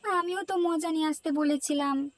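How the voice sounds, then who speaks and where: A woman's voice: sits high, moderate pace, no echo, close to an everyday voice. A woman voicing a small village child in a cartoon. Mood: pleading. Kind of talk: Bangla cartoon voice acting. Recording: music behind the voice.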